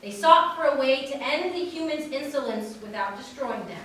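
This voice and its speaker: A woman's voice: high voice, lively intonation, moderate pace, fairly bright tone. A woman giving a theatrical recitation of a formal speech as a reader at a wedding. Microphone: distant from speaker. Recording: echoey room, distant mic, faint noise.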